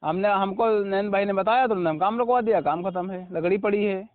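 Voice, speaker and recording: A man with a high voice talks in a rural Uttar Pradesh accent with an Awadhi tinge. He is defensive yet acquiescent, hesitating and placating. It is heard dry, through a narrow, tinny phone line. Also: fairly quick, dry recording. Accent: rural Uttar Pradesh, Awadhi-influenced. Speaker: timber contractor.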